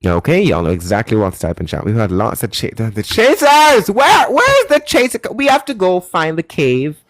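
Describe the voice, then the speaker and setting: A man hosting a live call-in debate stream, pressing callers with insistent questions and joking loudly with his chat. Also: fairly high pitch, moderate pace, no echo, studio quality. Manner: lively, shouts and giggles at chat.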